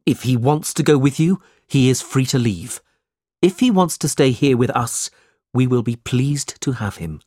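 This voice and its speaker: Narrator voicing a firm, indignant protector, lively intonation. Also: mid-range voice, moderate pace, no echo, clean studio sound, natural voice. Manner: firm, emphatic. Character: protective, indignant young man standing up to villains.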